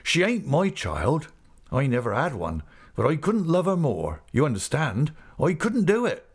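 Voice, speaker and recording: A man voicing a rough, big-hearted seafaring uncle in an audiobook, effusive and excited, with a rustic East Anglian accent. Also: fairly high pitch, a little slow, voice pushed up, heavily acted. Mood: tender, fervent. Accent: East Anglian English rustic dialect. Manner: rapturous, effusive, breathlessly excited. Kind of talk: character voice in audiobook reading.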